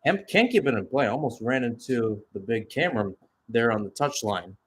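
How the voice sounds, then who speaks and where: A man's voice: medium pitch, lively intonation, normal speed. Man calling live soccer play-by-play. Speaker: radio play-by-play commentator.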